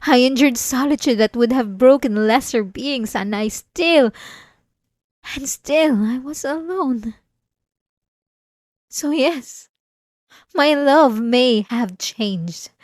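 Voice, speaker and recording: Casting: actress as ancient female vampire for ASMR drama, possessive and menacingly tender, very unhurried intimate delivery, dry close sound. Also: high voice, darkish tone, dry recording. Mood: anguished, bitter. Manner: slow, intimate, deliberate, dramatic pauses. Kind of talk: ASMR roleplay, dramatic monologue.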